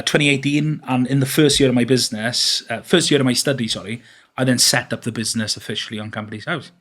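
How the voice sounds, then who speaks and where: A man in his thirties, speaking at an ordinary middle pitch for a man. He talks as an interview guest, chatty and rambling, full of fillers and restarts as he tells his own story. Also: fairly bright tone. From Wales. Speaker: podcast guest.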